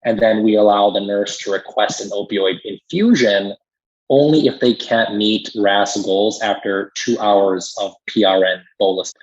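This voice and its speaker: A man's voice: lowish voice, breathy edge. A man explaining things conversationally as a pharmacist guest in an interview, emphatic when he agrees, on slightly muffled audio. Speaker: critical care pharmacist guest.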